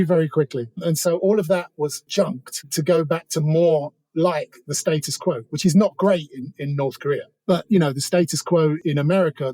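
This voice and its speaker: Bright-voiced man, informal expert guest. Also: a little high, dry recording. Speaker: interview guest, author on North Korea. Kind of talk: informal analytical discussion.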